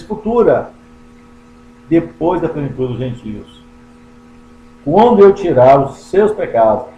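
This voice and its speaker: A man's voice: medium pitch, a little mellow, a little hiss or hum. Man preaching in an echoey room. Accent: Brazilian Portuguese. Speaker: pastor. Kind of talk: Bible study sermon.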